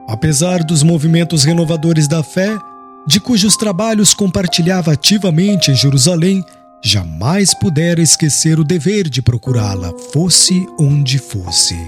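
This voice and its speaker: Male audiobook narrator, somewhat high voice, solemn and dramatic, light music underneath. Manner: solemn, literary delivery.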